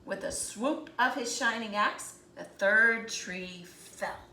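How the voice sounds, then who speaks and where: Woman reading a kids book out loud, slow n dramatic, bright voice, echoey room. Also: on the high side. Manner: deliberate, dramatic phrasing. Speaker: storybook reader. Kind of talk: expressive children's read-aloud narration. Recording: roomy echo, faint background noise.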